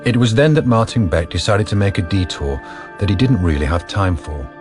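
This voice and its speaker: A man's voice: fairly low pitch, expressive, brisk. A man narrating a scripted radio drama. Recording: prominent music behind narration.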